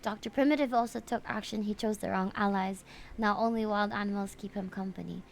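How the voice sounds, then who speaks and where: A woman reading aloud the formal, measured lines of a solemn, defiant cosmic game character. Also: medium pitch, steady tone, vocal fry, slight background noise, lightly acted. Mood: cool, scornful.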